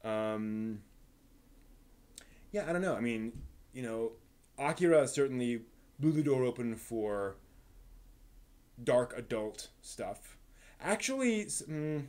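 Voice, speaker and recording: A man with a mid-pitched voice chats casually as a live stream host. He explains ideas analytically, working them out as he speaks. The delivery is halting, with repeated words and unscripted detours. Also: very animated, darkish tone. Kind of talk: casual rambling live stream chat about anime.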